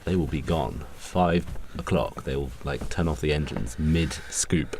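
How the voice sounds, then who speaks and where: A man's voice: extremely low pitch, unhurried, background noise. A man co-hosting a comedy podcast, bantering and insistently explaining his point. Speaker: podcast co-host.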